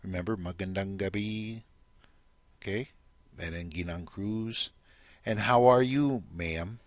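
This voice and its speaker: A man's voice: low-pitched, measured pace, no room sound. A man teaching a recorded language lesson, patient and explanatory, prompting the listener to repeat.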